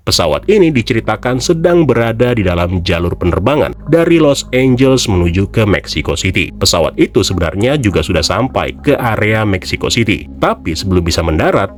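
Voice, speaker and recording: Lively low-voiced man. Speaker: YouTube host and film reviewer. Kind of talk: casual scripted review narration.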